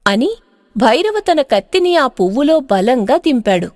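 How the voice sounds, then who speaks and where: A woman narrator tells a folk tale in dramatic storybook style. Her voice is high with wide, animated swings in pitch. The recording is tight and dry. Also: unhurried, no room sound.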